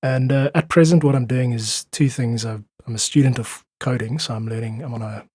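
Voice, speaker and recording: A man's voice: mid-range voice, a little fast, a little mellow, no room sound, studio quality. A man chatting casually as a podcast guest, explaining things in a thoughtful way. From South Africa. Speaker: podcast guest, freelance musician.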